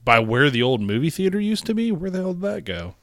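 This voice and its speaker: A man's voice: a little high, big pitch swings, fast talker, fairly bright tone, no room sound. A young man from North Carolina co-hosting a casual podcast, telling stories in a rambling way with plenty of swearing and laughs. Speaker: podcast co-host. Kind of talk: casual chat.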